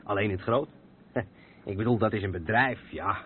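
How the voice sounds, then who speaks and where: An actor the playing a seasoned, patient truck driver who explains things matter-of-factly in a radio play. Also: male voice, lowish voice, moderate pace, natural voice. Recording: tinny, noisy old radio recording.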